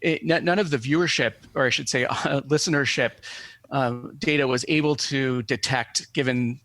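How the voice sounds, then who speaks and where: A man giving explanatory interview answers as an academic guest, in measured, scholarly phrasing. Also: mid-range voice, a bit bright, dry recording.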